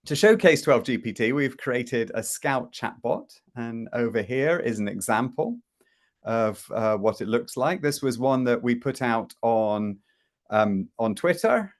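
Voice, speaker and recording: A middle-aged man presents over a video call from a room at home. His talk is loose and chatty, full of "um", "well" and restarts, at an average pitch for a man. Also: expressive, measured pace. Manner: chatty, with frequent fillers and restarts. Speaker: host presenting a product demo. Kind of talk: casual live presentation.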